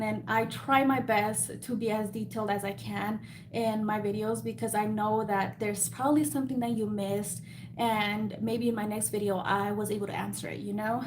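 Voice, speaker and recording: A woman's voice: fairly high pitch, a little hiss or hum. A woman with a slight Mexican Spanish accent hosting a live Q&A, chatty and self-deprecating, laughing often. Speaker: beauty business host. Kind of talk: live Q&A chat.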